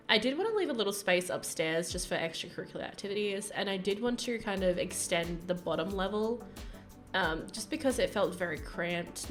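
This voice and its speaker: A young woman chatting casually over a game build video, rambling and giggly with lots of fillers, her voice croaky from early morning. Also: mid-range voice, fairly bright tone. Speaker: gaming YouTuber narrating a build.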